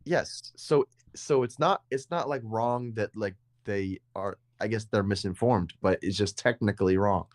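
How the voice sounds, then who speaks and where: Young male podcast co-host, deep voice, rambling, repeats himself. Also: a little slow, few pauses, no echo. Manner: rambling, repeats words and restarts often. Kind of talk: casual conversation.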